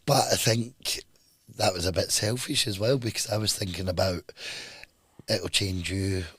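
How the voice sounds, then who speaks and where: A man in his thirties with a hoarse, husky voice he has lost, co-hosting a casual couple's podcast, jokey and quick to laugh. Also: low voice, fairly even intonation, a bit bright, dry recording. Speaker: podcast co-host.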